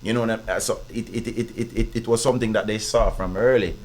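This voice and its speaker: A man with a Jamaican accent and a big, deep voice, talking as a guest in a casual interview, speaking in patois and often checking that he is understood. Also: fairly even intonation, fast, a little hiss or hum. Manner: patois-heavy, repeats "you understand". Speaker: guest artist.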